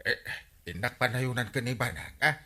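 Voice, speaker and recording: A man acting as a bossy, excitable village drinking buddy in an Ilocano comedy radio drama, needling and full of exclamations. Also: medium pitch, moderate pace. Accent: Filipino, Ilocano.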